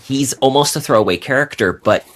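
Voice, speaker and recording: A man's voice: medium pitch, lively intonation, a little fast, fairly bright tone. A man speaking as a guest novelist on a podcast, explaining writing in an articulate, bookish, conversational way. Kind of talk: conversational interview talk about writing.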